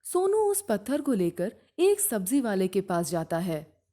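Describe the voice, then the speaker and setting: A woman narrating a scripted moral story in a deliberate, simple storytelling manner. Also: medium pitch, big pitch swings, dark tone.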